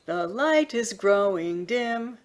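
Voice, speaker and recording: A woman's voice: medium pitch, lively intonation, takes it slowly. A woman in a workshop over a video call, hesitant and laughing at herself as she recites her lyric. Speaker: workshop participant.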